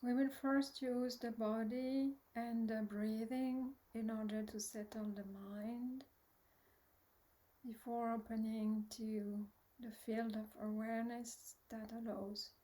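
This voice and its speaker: A woman with a fairly high, mellow voice leads a guided meditation at a very slow pace. She is soft and gentle, often trailing into breathiness. She pauses long and hesitates as she gives instructions. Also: level tone, rough recording. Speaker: meditation teacher.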